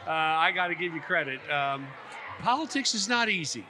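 Male interview host, slightly high register, folksy and effusive, halting delivery, noisy location sound. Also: expressive. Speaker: podcast host. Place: busy conference venue at a hotel. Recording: clear background noise on location.

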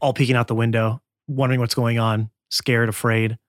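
A man's voice: mid-range voice, level tone, moderate pace, no room sound, studio quality. A man running a tabletop role-playing game as game master, narrating scenes and calling for rolls. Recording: music behind the voice. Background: background music.

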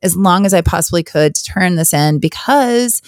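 A hoarse woman hosting a podcast, talking in a candid, chatty, rambling way on her own. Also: lowish voice, lively intonation, normal speed, dry recording, clean studio sound. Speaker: podcast host. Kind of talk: casual solo podcast chat.

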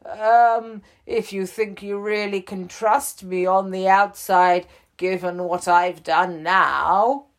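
A woman's voice: mid-range voice, fairly even intonation, takes it slowly, few pauses, voice pushed up. A woman voicing a stubborn, boastful old male bear who is a prisoner, in a bedtime story. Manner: bluffing, insists he is never scared.

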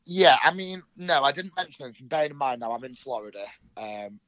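A man's voice: mid-range voice, expressive, fairly quick, fairly bright tone, dry recording. A young man from England with a cold, sounding nasal, telling his story in an interview with many ums and restarts. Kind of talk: casual recounting in an interview.

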